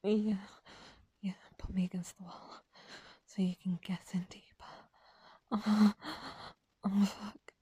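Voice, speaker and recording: A woman's voice: medium pitch, little pitch movement, slow, long pauses, warm tone, breathy edge, no room sound. A woman in an intimate erotic audio roleplay, breathless and aroused, whispering between moans.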